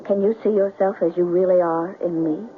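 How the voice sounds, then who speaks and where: A woman playing a tender, gently teasing young sweetheart in a 1970s radio drama, with simple, deliberate phrasing. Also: on the low side, steady tone, slight background noise, natural voice. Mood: tender, coaxing. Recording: muffled old radio broadcast with music.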